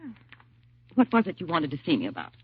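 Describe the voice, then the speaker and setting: A woman's voice: sits low, huge pitch swings, fast talker, slight background noise. An actress playing a polite, concerned suburban mother in a 1950s radio drama, proper and tentative in her speech. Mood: polite, puzzled. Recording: tinny, narrow old radio recording.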